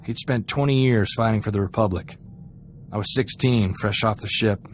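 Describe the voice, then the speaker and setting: A man's voice: lowish voice, moderate pace, lightly acted. An actor playing an earnest, idealistic young freighter crewman in a video game, talking in a folksy, chatty way. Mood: reflective, sincere. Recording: clear background ambient noise.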